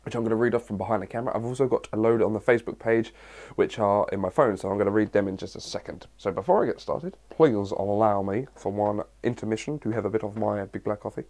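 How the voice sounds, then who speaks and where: A young man from England with a cold and a hoarse voice, chatting his way through a casual Q&A vlog. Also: on the low side, quick pace, a little mellow. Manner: chatty, rambling, reads questions aloud. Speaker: fitness vlogger.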